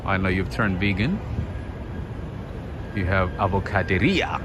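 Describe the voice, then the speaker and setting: A man's voice: low-pitched, animated, measured pace. A man hosting a live walking tour, chatting casually and reading viewer comments aloud as he walks outdoors. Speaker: livestream walking tour host. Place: outdoors on busy city streets. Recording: loud street noise from outdoors.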